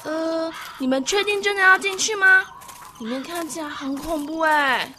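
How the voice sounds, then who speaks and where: A woman's voice: extremely high pitch, moderate pace, bright, forceful tone, a little hiss or hum. A woman acting a timid, whiny, easily frightened schoolgirl in a student radio drama, with a Taiwanese accent. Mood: nervous, pleading. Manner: whiny, pleading.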